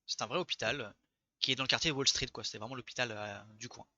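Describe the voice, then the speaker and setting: Bright, forceful man as game master. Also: mid-range voice, fast, dull audio. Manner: informal, conversational. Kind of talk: narrating a tabletop role-playing game in casual speech.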